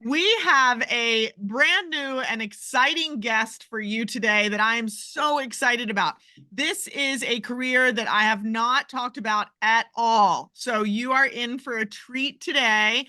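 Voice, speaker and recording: A woman's voice: a little high, unhurried, pushed and bright, no room sound. A woman hosting a podcast, upbeat and enthusiastic as she welcomes and introduces her guest. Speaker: podcast host.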